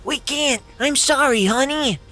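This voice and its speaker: A man voicing a flustered, excitable police commissioner in a comic script reading, with an overwrought, stammering delivery. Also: very high-pitched, measured pace, full character voice.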